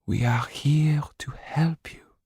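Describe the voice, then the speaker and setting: A man voicing a kind but firm married gentlewoman in a storybook, with an earnest delivery. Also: fairly high pitch, fairly even intonation, takes it slowly, no room sound, studio quality, pitched above the performer's own voice, heavily acted. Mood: soft, pleading. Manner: earnest, sometimes sharp, sometimes softly breathy.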